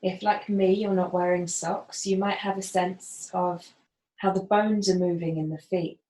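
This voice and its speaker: Woman doing a gentle yoga body scan, unhurried with pauses, echoey room sound. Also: lowish voice, fairly even intonation. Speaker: yoga facilitator. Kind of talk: guided body scan meditation instruction. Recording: roomy, echoing voice.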